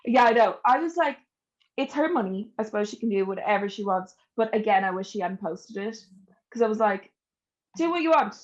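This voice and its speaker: A young Irish woman talks as a podcast guest in an informal, chatty way, telling personal stories. Her voice sits at an average pitch for a woman. The recording is a little dull and lo-fi. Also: lively intonation, brisk. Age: young adult. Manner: chatty, informal, laughs easily.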